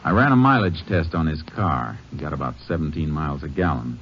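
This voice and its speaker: A deep-voiced, methodical Texas Ranger. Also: male voice, a little fast, a little hiss or hum. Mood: explaining. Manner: calm, reasoning, plainspoken. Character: steady, methodical male Texas Ranger detective. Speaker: Texas Ranger lead and narrator. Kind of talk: 1950s radio drama acting. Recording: dull lo-fi 1950s radio broadcast.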